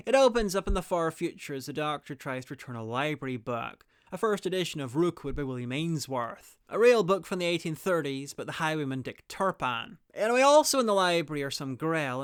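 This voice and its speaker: A man's voice: a little high, smooth, dry recording, studio quality. A man narrating a scripted review in an informal, opinionated and wry way, with casual asides. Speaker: reviewer presenting an audio drama guide.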